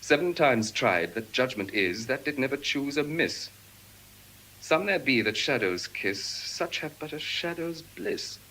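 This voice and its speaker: Haughty aristocratic suitor, classical verse drama, grand declamation, highly animated intonation, mid-pitched. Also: male voice, unhurried, a little hiss or hum, rough recording. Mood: indignant, dismayed. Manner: declamatory, pompous. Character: proud, pompous nobleman suitor, full of self-regard. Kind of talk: classical stage verse acting.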